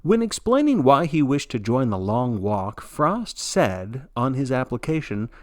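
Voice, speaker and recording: A man delivers scripted play-by-play like a sports broadcaster telling a story. His pitch moves around in a lively way, with wry, folksy asides. The sound is dry and close. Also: unhurried, dry recording. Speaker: narrator playing a sports broadcaster.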